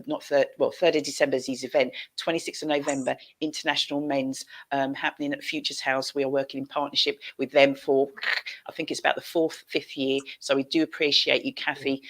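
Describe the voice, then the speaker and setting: A middle-aged British woman with a low-pitched voice hosts a casual live chat on a headset. She is effusive and informal, often repeating a phrase to press it home. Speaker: talk show host. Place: indoor room at home, on a video call.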